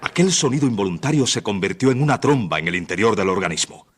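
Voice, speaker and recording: A man's voice: fairly low pitch, animated, fast talker, breathy edge. A man narrating a suspense radio play in a dramatic, scripted style.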